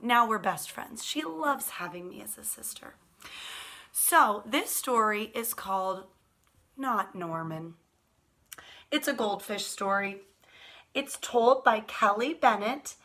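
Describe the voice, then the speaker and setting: Slow, bright-voiced woman reading storytime. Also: fairly high pitch. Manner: animated, expressive, addressing young listeners directly. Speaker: teacher reading picture books aloud to children. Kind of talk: children's storytime read-aloud with asides.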